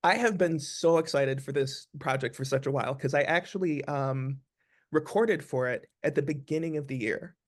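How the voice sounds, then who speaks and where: A man's voice: a little high, normal speed. A man, an actor, talking casually as the guest in an interview.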